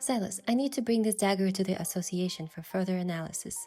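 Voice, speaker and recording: A woman playing an earnest, playfully cheeky young hunter heroine, mixing reflective first-person story narration with expressive video game dialogue. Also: mid-range voice, quick pace, faint music behind, natural voice.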